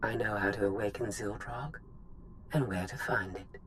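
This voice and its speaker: A man playing a cold, superior rogue droid in a video game, speaking in formal, measured phrases. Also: fairly low pitch, fairly bright tone, a little hiss or hum, lightly acted. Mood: superior, assured. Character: cold, self-freed rogue droid hungry for freedom. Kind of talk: dramatic video game cutscene acting.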